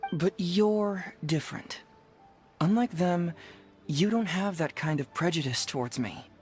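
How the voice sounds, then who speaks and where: A man voicing a clever young detective in a video game, smooth and playful with some quiet self-doubt, phrasing his words with care. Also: high voice, natural voice. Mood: confiding, coy.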